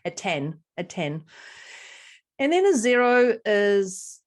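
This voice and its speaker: A woman's voice: mid-range voice, very animated, slow pace. Bubbly New Zealand woman co-host. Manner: bubbly, laughs and snorts often. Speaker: podcast co-host. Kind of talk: casual chat.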